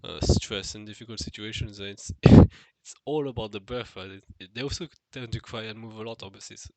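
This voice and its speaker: A man's voice: lowish voice, brisk, dark tone, dry recording, poor audio. A man with a nasal, stuffed-nose voice musing alone to the camera in an unscripted vlog, hesitant, with many fillers and restarts. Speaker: vlogger. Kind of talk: unscripted solo monologue.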